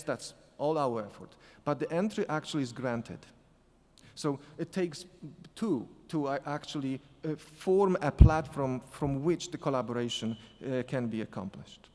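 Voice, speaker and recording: A man speaking English with a non-native accent, telling a story on a conference panel, halting and restarting often. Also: medium pitch, animated, a little mellow. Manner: halting, many restarts and fillers. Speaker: sport psychology consultant on a panel. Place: conference hall. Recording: echoey hall reverb.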